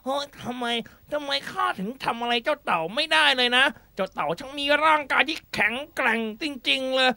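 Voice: high-pitched, brisk, gravelly, few pauses, sharp tone, heavily acted. A performer voicing a boastful, gullible bully snake with exaggerated delivery in a children's animal fable. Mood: pained, whining. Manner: exaggerated, threatening then whining.

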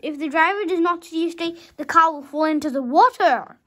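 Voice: very high voice, normal speed, sharp tone. A young child of about five reading a storybook aloud dramatically, sometimes stumbling over words and rereading them.

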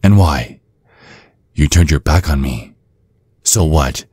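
A man playing a seductive, vengeful vampire in an ASMR roleplay, taunting in a sultry, husky, breathy voice. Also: rumbling low, takes it slowly, a little mellow, no room sound, studio quality, exaggerated voice. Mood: scornful, taunting. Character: seductive, vengeful male vampire, former protégé of a priest.

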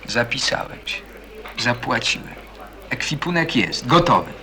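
A man's voice: mid-range voice, very animated, a little slow, bright, forceful tone. A man playing a bitter, defensive teenage schoolboy in a radio play, defiant, sarcastic and colloquial. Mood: wry storytelling. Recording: busy chatter of people behind. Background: crowd chatter, as in a café.

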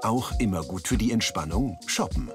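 A man's voice: fairly low pitch, animated, moderate pace, music in the background. A man narrating a travel documentary in a scripted voice-over.

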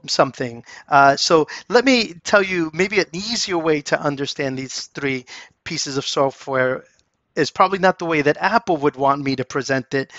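Chatty man teaching a software course. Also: on the high side, no room sound. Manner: chatty, filler-heavy, enthusiastic. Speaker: course instructor. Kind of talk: casual software tutorial voice-over. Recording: dull, slightly lo-fi dry voice-over.

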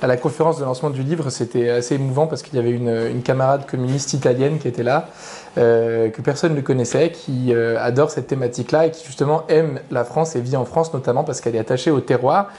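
A man's voice: mid-range voice, steady tone, quick pace, warm tone. A young adult man, an author invited as a guest, giving long structured explanations that list his points in order. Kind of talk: explanatory interview answers.

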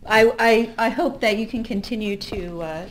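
A woman's voice: a little high, normal speed, a little hiss or hum, poor audio. A woman hosting a casual interview in a small backstage room, asking friendly, upbeat questions. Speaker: interviewer, host. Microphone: handheld mic.

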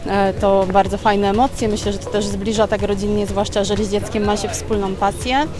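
Woman in her thirties, interview, mid-pitched voice, clear background music. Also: little pitch movement, a little fast. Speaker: amateur martial arts athlete. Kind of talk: on-camera interview. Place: busy gym hall, indoors. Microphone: handheld reporter microphone.

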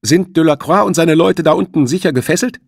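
A man's voice: a little high, fairly quick, gravelly, no room sound, studio quality, voice pushed up. A man voicing a defiant, nervy teenage boy in an audiobook, speaking urgently and giving orders. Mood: firm bargaining. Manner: urgent, commanding.

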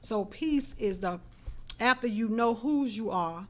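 A woman's voice: a little high, expressive, measured pace. A middle-aged woman hosting a radio interview in a small studio, talking earnestly and reflectively into a desk mic. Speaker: host. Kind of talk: casual interview chat. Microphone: desk mic on stand.

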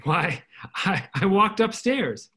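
An actor playing an eager, modest army captain home from war in an earnest, exclamatory stage comedy style. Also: male voice, high-pitched, very animated, slow pace, bright, forceful tone, slightly rough, exaggerated voice. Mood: polite, surprised. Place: indoors at home, video call. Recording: noisy home video-call audio.

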